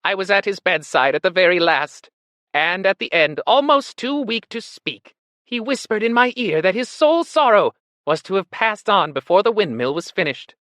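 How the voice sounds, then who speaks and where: A man's voice: sits high, animated, normal speed, fairly bright tone, dry recording, pitched above the performer's own voice. A man voicing an oily male pig propagandist in a storybook, pompous, melodramatic and insincere. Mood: tearful, reverent.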